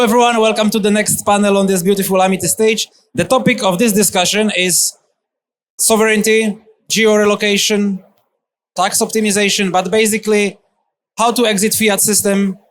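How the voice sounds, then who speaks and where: Moderator, male, high voice, easy prompting questions on a conference stage. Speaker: panel moderator. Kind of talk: live panel moderation.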